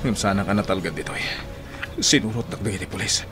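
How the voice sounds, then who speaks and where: A man's voice: on the low side, fast talker, music in the background, natural voice. An Ilocano Filipino man playing a cornered, defiant fugitive gunman in a radio drama. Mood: wary, muttering.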